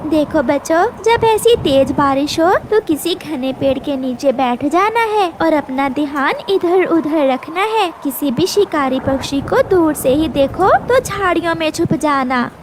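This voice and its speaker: A very high-pitched cartoon mother bird, acted by a woman. She speaks slowly and earnestly, with a gentle, instructive manner toward her chicks. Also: expressive, a little hiss or hum. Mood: earnest, tender. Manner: slow, instructive, tender.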